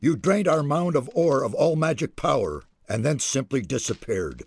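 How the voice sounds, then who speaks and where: A haughty male mage from a fantasy video game. His voice is husky and swings widely in pitch. He speaks with pompous, theatrical scorn, as if scolding a fool. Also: lively intonation, moderate pace, dry recording. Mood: angry, scornful. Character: haughty, irritable male mage guarding ancient secrets.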